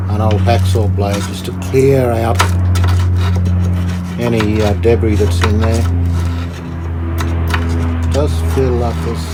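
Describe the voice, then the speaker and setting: A man giving casual hands-on commentary as a hobby restorer, thinking aloud in a tentative, halting way. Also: medium pitch. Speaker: host, hobbyist radio restorer. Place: indoors, hobby workshop. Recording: clear background noise.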